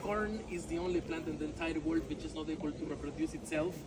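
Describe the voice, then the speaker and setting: A man's voice: on the high side, fairly even intonation, fast, very noisy. A man whose first language is Yucatec Maya, speaking English with a Mexican Spanish accent as a tour guide, chatty and jokey, often saying "my friends" and "all right?". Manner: jokey, laughs at his own jokes. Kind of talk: outdoor walking tour talk. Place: outdoors among ruins, tour group around. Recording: outdoor crowd of tourists behind.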